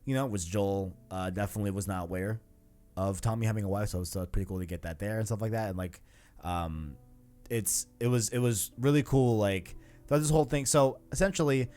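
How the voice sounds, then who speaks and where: Man, low voice, podcast host, casual gaming talk, enthusiastic, slangy, faint guitar music behind. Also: fairly quick, a little mellow. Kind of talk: casual video game discussion.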